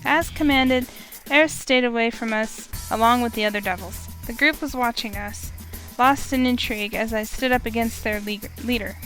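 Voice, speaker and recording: A woman narrating a visual novel out loud in a fairly high voice. Her reading is expressive, broken up by dry side comments. Soft game music plays underneath. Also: moderate pace, rough recording. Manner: expressive narration with wry asides. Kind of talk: dramatic reading of game text.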